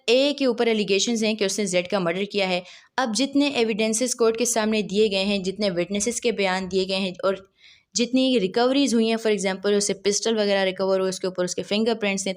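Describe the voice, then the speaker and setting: A woman with a Pakistani accent explaining like a law lecturer, didactic, often posing questions and answering them herself. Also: mid-range voice.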